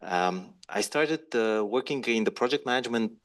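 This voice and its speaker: A man introducing himself on a panel, speaking in a measured way with frequent hesitations. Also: mid-range voice, expressive. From Slovenia.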